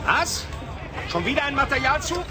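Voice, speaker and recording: Harried site foreman, high voice, urgent demands, radio play, loud crowd and machinery noise. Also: sing-song, normal speed, over loud music. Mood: harried, demanding. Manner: urgent, shouting over noise. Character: harried male construction site boss barking demands. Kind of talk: German radio drama acting. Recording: noisy busy outdoor scene, distant.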